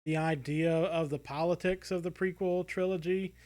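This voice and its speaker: A man co-hosting a podcast in casual discussion, rambling and halting as he corrects himself. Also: fairly high pitch, fairly even intonation, a little mellow. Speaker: podcast co-host.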